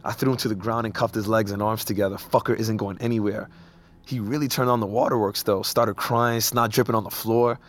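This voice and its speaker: A man's voice: fairly low pitch, steady tone, a little fast, close to an everyday voice. A man playing a swaggering, foul-mouthed tough guy in an audio drama, casual and streetwise. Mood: cocky.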